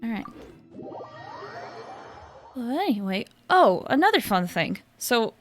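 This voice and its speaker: A woman's voice: fairly high pitch, very animated, slow. A woman giving casual live gameplay commentary, rambling and thinking aloud as she plays. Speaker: game streamer. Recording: game music behind the voice. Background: video game music.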